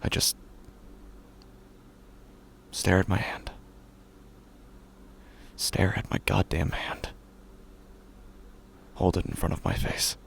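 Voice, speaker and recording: An actor playing a grieving, haunted widower who is slowly unraveling, in a halting, brooding horror audio drama monologue with a gravelly, breathy voice. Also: male voice, deep voice, a little hiss or hum, exaggerated voice. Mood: bleak fixation. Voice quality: gravelly, breathy, often dropping to a whisper. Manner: halting, brooding, with long pauses.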